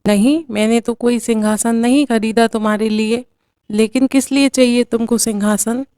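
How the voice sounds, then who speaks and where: A woman voicing a middle-aged mother-in-law who speaks in a soft, motherly way. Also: on the high side, fairly even intonation, normal speed, smooth, no room sound, clean studio sound, natural voice. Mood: puzzled.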